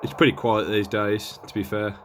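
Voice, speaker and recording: Low-voiced man, casual and offhand. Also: little pitch movement, normal speed, background noise. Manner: hesitant, offhand, understated. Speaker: presenter of a radio gear review. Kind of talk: casual hands-on product demonstration.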